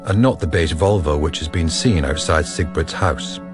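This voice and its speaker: A man narrating a radio drama as a measured, serious storyteller. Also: rumbling low. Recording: radio narration over clear music.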